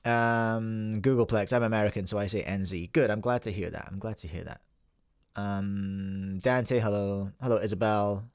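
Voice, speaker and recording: A man's voice: on the low side, steady tone, moderate pace, clean tone, no echo, studio quality. A Scottish man in his forties hosting a casual livestream podcast, rambling and chatting with viewers by name. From Scotland. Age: 40s. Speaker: podcast host.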